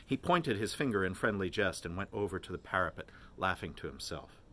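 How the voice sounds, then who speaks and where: A man reading literary prose aloud as an expressive narrator. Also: low voice, a little fast. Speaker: volunteer reader of a novel.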